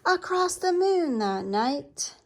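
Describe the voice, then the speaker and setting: A woman reads a children's picture book aloud. Her voice sits a little high, and she delivers the lines expressively, as a storyteller for young listeners. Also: big pitch swings, slow pace.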